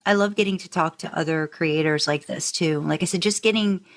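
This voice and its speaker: A woman's voice: deep voice, brisk. A woman hosting a podcast in a casual, conversational way, effusive and chatty with rambling asides. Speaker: podcast host. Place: remote video call.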